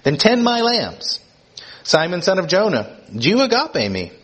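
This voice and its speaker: A man preaching, voicing Jesus as he gently presses a disciple with the same question again and again. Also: high-pitched, wildly swooping pitch, measured pace, husky, voice pushed up, heavily acted. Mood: earnest.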